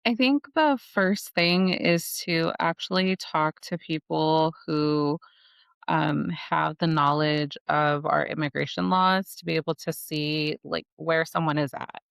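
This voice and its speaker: A woman in her forties from Los Angeles talks as an immigration attorney guest on a podcast. She explains things in an earnest, practical way, full of "you know" and "like." Her voice has a rough, vocal-fry texture over a smooth, resonant tone, recorded close and dry. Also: deep voice, slow, a bit bright, no echo. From Los Angeles, United States. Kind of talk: casual podcast interview.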